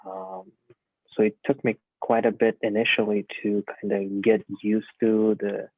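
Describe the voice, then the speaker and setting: Guy in his 30s, low gravelly voice, lots of ums, tinny dry call audio. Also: sing-song, a little slow, no room sound. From Uzbekistan. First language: Russian. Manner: hesitant, many fillers. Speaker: guest physician. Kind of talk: casual interview answers.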